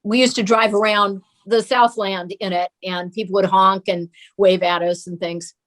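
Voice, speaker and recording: A woman's voice: medium pitch, steady tone, normal speed, fairly bright tone. An older woman, an interview guest, casually telling family memories.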